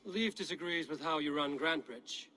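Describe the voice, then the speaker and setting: An actor playing a stern Viking warrior in a video game, questioning people in a measured, grave way. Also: male voice, on the high side, bright, forceful tone, a little hiss or hum, rough recording. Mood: grave, reporting. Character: stern probing Viking warrior investigating a betrayal. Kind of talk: video game cutscene dialogue.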